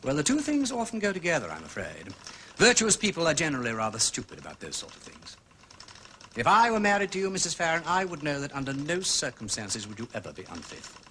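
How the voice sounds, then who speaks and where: An actor playing an urbane aristocratic gentleman sleuth with an upper-class English accent, drawling and probing with theatrical understatement in a radio drama. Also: a little high, huge pitch swings, fast, slight background noise, full character voice. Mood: wry, knowing.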